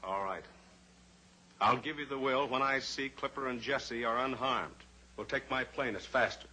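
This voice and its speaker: An actor playing a steadfast, brave cowboy pilot in an old-time radio drama, firm and terse. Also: male voice, medium pitch, normal speed, bright, forceful tone, lightly acted, rough recording. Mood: controlled, steady. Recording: old radio recording with faint hiss.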